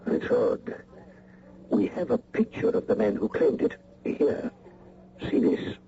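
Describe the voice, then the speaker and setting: An actor playing a suspicious, commanding spymaster in a radio drama, speaking in a formal, measured, guarded way. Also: male voice, sits high, huge pitch swings, creaky, warm tone, full character voice. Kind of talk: 1980 radio drama acting. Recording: muffled old radio recording, faint noise.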